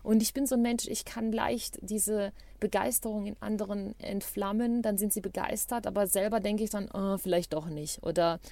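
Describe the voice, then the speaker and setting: A woman's voice: mid-range voice, steady tone, moderate pace, gravelly, hardly any pauses, mellow, no room sound. A woman co-hosting a podcast, speaking German that is not her first language, enthusiastic and reflective in casual chat. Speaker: podcast co-host.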